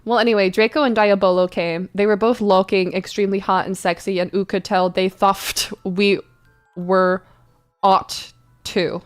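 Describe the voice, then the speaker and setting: A woman's voice: mid-range voice, level tone, faint music behind. A woman giving an exaggerated, theatrical dramatic reading of badly written fan fiction, misspellings and all. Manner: exaggerated, theatrical delivery that keeps deliberate misspellings. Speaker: streamer doing dramatic readings.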